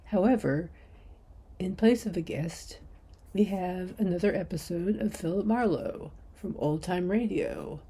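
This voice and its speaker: Low, mellow-voiced woman podcast host. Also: measured pace, slightly rough. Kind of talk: scripted podcast introduction and sponsor read. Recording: soft background noise.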